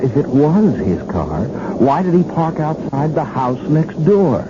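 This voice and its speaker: Radio-play detective: shrewd, folksy, deliberate and needling, very animated melody, dull vintage broadcast sound. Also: male voice, medium pitch. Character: shrewd, folksy male detective confronting suspects. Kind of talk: 1970s radio drama acting. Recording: muffled, hissy 1970s radio recording.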